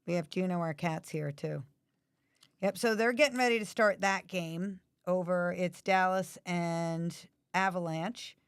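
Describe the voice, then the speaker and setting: A woman with a raspy, allergy-hoarse voice chatting casually while watching hockey at home. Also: on the low side, measured pace, dry recording, studio quality. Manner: chatty, asks many questions. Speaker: livestream host.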